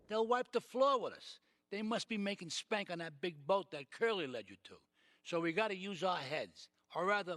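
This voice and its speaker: A man's voice: sits high, noisy background. A man playing a calculating, commanding middle-aged mafia boss giving orders in a video game cutscene.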